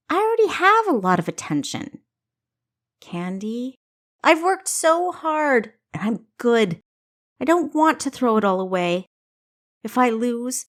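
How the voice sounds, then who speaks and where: A woman's voice: medium pitch, close to an everyday voice. A woman voicing a proud, indignant young female wrestler with an emphatic, exasperated delivery. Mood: defiant.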